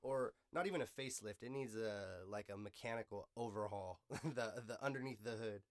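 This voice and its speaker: An American man chatting casually as a podcast host in street slang, with swearing and a loose, halting delivery. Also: fairly low pitch.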